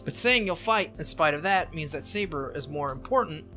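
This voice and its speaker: Sharp-tongued teen girl mage played by a man, brisk, lecturing, bright voice, faint game music. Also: on the high side, expressive. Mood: teasing. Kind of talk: visual novel read-aloud.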